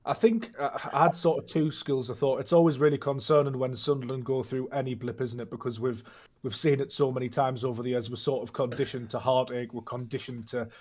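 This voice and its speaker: A man's voice: mid-range voice, fairly even intonation, fairly quick, darkish tone. Thoughtful male football pundit. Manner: thoughtful, with repeated restarts. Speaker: guest pundit. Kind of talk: casual football analysis.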